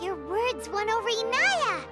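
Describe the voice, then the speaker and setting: A woman voicing a tiny, cheerful, childlike fairy companion in a video game, squeaky and excitable. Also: measured pace, exaggerated voice. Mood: excited, encouraging. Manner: refers to self in third person, excitable. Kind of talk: video game character acting. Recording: music behind game dialogue.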